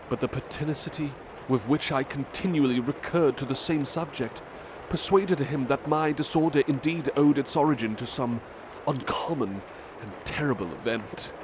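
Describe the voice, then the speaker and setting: A man reading a classic novel aloud softly as a butler in an ASMR roleplay, with measured dramatic delivery. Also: medium pitch. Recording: steady urban traffic noise behind.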